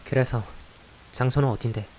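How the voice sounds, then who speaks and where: A man's voice: medium pitch, moderate pace, natural voice. Visual novel schoolboy done by a guy, dry n grumbly, sighs a lot, bit of rain noise behind. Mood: reluctant, sarcastic. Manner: blunt, dry, sighing and sarcastic. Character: grumbling, exasperated male high school student, reluctant partner. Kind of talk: visual novel voice acting with some first-person narration. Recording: hiss with steady rain behind.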